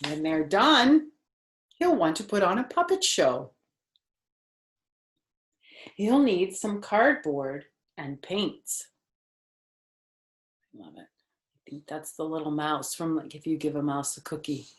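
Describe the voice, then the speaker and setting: A woman's voice: low voice, lively intonation, roomy sound. An older woman reading a picture book to children in a grandmotherly, chatty way, with casual asides to her listeners. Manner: grandmotherly, chatty, asking listeners questions and pausing on phrases. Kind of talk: animated read-aloud with casual asides.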